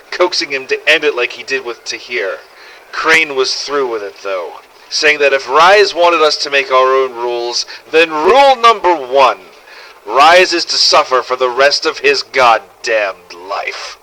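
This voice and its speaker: Bright, forceful man narrating a gritty story. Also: medium pitch, expressive, measured pace, rough recording. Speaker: narrator of fan-made game audio logs. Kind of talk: scripted first-person story narration in character.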